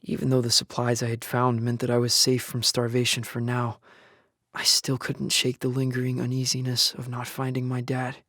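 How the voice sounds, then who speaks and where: A man's voice: mid-range voice, completely flat delivery, moderate pace, fairly bright tone, no room sound, clean studio sound. An actor playing a young male survivor of an apocalypse in a first-person audio drama, earnest, shaken and grieving for his father. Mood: somber, reflective. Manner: somber, earnest storytelling with anguished outbursts. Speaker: lead actor and narrator. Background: music.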